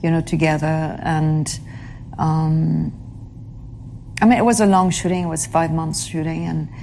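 A woman with a notably low voice answers interview questions in a reflective, halting way. She pauses, reaches for words and fills the gaps with small hesitations. A faint hiss sits under her voice. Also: fairly even intonation, creaky, a little mellow. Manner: halting, searches for English words, many fillers. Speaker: actress being interviewed.

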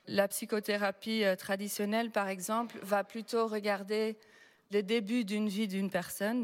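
A woman who is not a native French speaker, a researcher speaking as a panel guest, explaining at a measured pace with frequent hesitations. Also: mid-range voice. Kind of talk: public panel talk. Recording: long hall reverb.

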